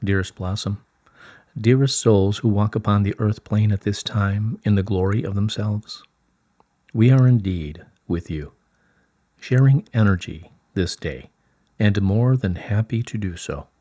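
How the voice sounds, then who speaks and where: Serene beings of light speak through a man reader in a low, slightly rough-grained voice. The phrasing is stately and formal, delivered as a solemn channelled message. The sound is close and dry. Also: unhurried, warm tone, no echo. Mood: solemn, benevolent.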